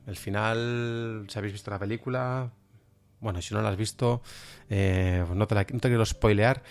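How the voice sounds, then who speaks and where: Low-pitched Spanish man, reflective. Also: fairly even intonation, normal speed. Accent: Spanish, from Spain. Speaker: podcast host. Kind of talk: informal spoken review commentary.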